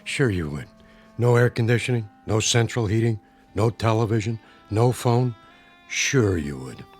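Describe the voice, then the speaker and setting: An actor playing an impatient, sarcastic husband in a radio drama, dry and dismissive. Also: male voice, fairly low pitch, lively intonation, measured pace. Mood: bored, sarcastic. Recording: radio play with soft music underneath.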